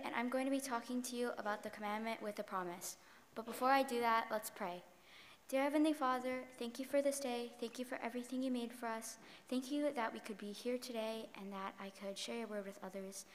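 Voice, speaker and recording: A woman's voice: on the high side, fairly even intonation, brisk, a little hiss or hum. A young woman giving a prepared sermon as a student preacher, recorded in a large, reverberant church. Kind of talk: sermon, reading prepared text. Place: large church sanctuary, at the pulpit. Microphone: podium microphone. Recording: reverberant hall, long echo.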